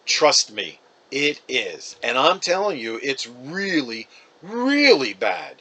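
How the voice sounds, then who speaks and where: A man's voice: medium pitch, very animated, slow pace, sharp tone, husky, exaggerated voice. A man voicing a reluctant, argumentative schoolboy, the older brother in a storybook, exasperated and insistent.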